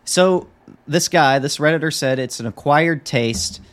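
A man's voice: fairly high pitch, a little slow, a bit bright. A man hosting a podcast in a casual, conversational chat style. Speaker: podcast host.

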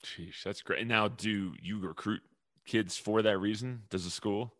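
A man interviewing a coach, asking questions and giving relaxed affirmations. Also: on the low side, a little slow, no echo, clean studio sound.

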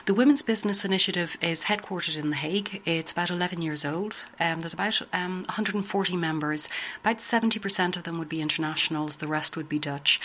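Low-voiced Irish woman, measured. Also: sharp tone. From Ireland. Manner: thoughtful, measured, frequent um fillers. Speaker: guest, business consultant. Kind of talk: interview answers. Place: office building in a city.

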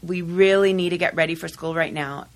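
A woman guest in a studio discussion, telling a story at a measured, thoughtful pace. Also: fairly low pitch, steady tone. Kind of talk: casual podcast discussion. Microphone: studio microphone.

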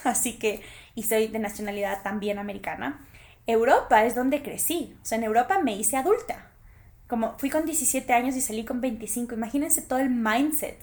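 Chatty Ecuadorian woman telling stories. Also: a little high. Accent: Ecuadorian Spanish. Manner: chatty, animated, addresses listeners directly. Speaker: podcast host. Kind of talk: personal storytelling monologue.

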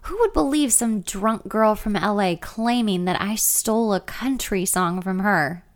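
A woman's voice: mid-range voice, measured pace, natural voice. A woman voicing a smug, condescending male country musician in a story, taunting in manner.

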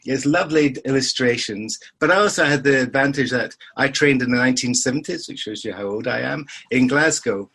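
Husky older man, dry humour. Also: medium pitch, brisk, few pauses, fairly bright tone. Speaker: surgeon and podcast guest. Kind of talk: conversational interview.

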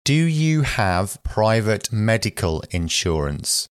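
A very low-voiced man reads short phrases at an unhurried, deliberate pace, as in a language course. The sound is close and dry, with no room around it. Each phrase comes out clean and evenly spaced. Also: clear, resonant tone, no echo. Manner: slow, careful, even delivery. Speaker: English phrase reader. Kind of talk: slow repeated phrase reading for learners.

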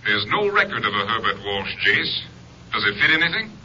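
An American actor playing a brisk Texas Ranger captain giving clipped, official reports in a 1950s radio drama. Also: male voice, lowish voice, big pitch swings, bright, forceful tone, slightly rough, exaggerated voice. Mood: official, informative. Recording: muffled, faintly noisy old radio recording.

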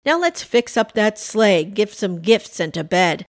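A woman's voice: medium pitch, expressive, unhurried, no room sound, lightly acted. Woman acting a big jolly old man, hearty, friendly, pleading, comic storybook character, close dry sound. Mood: pleased.

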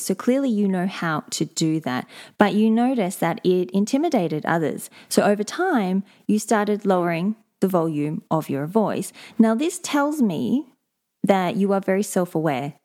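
A woman, a leadership coach, talking alone on her podcast, explaining things in a steady, measured way. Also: on the low side. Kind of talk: solo podcast monologue.

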